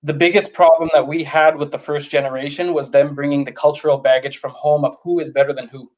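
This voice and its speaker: A man's voice: on the high side, level tone, quick pace. Man from Canada, livestream guest, direct, emphatic, dull audio. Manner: direct, emphatic, speaks bluntly. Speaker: guest, youth advocate. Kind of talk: livestream conversation.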